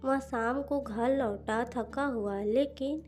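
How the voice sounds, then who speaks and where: High-voiced woman narrating slowly. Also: darkish tone. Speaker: story narrator. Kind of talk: scripted storybook narration for a cartoon. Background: instrumental music.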